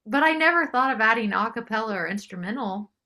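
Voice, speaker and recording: A woman's voice: fairly high pitch, animated, fast talker, pushed and bright. A woman chatting casually as an interview guest, appreciative and agreeable in manner. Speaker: singer-songwriter guest.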